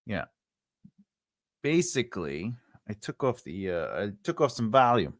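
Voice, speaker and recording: A man's voice: fairly low pitch, big pitch swings, unhurried, no echo, clean studio sound. A man hosting a live writing class, chatting loosely with lots of fillers and restarts while he adjusts his microphone. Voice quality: big voice. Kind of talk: casual livestream chat. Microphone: desk microphone.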